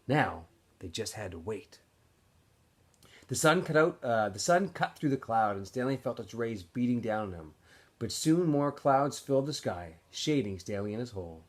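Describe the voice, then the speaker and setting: A man reads a story aloud with expressive, lively intonation. His voice is somewhat husky, and he narrates like an animated storyteller addressing students. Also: medium pitch. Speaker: teacher or school staff reading aloud to students. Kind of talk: expressive audiobook-style narration.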